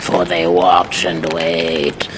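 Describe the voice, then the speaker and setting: Ancient tormented spirit, extremely deep gravelly voice, very slow ominous delivery, archaic phrasing, game cutscene, loud music. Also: male voice, monotone, heavy background noise. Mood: grim, urgent. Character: ancient tormented male undead spirit, sly and menacing. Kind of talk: video game cutscene acting.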